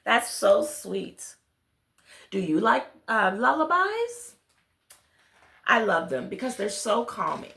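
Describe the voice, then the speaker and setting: Female storyteller, children's read-along, slow pace, very animated pitch, talks directly to young listeners. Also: gravelly, echoey room. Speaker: storyteller reading picture books aloud.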